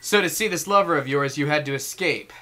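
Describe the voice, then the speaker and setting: A woman voicing a hot-headed, blustering teenage boy, forceful and indignant, in a game fan dub. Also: low voice, a little fast, over music, lightly acted. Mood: accusing.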